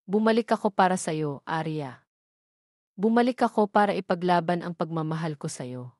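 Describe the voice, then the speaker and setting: A woman's voice: fairly low pitch, expressive, normal speed, a little mellow, no echo, clean studio sound. A woman voicing an earnest, pleading man devoted to his love in an audio romance drama. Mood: earnest, loving.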